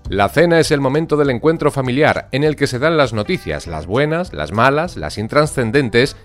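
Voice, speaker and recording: Lively male narrator over guitar. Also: mid-range voice, moderate pace. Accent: Andalusian. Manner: warm storytelling, hushed at times. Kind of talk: scripted narration. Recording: studio with guitar music behind.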